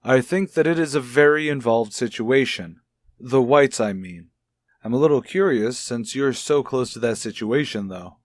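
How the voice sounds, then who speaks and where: An actor playing a gentle, probing child psychologist in a radio soap, speaking in a measured, soothing, tactful way. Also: male voice, mid-range voice, no room sound, close to an everyday voice. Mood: guarded, serious. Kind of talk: old radio soap opera acting.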